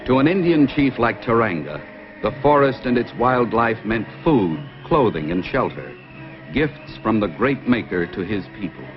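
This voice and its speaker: A man's voice: on the low side, sing-song, moderate pace. A man giving scripted narration as the storyteller of an old-time radio adventure. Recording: dull lo-fi old radio, music behind.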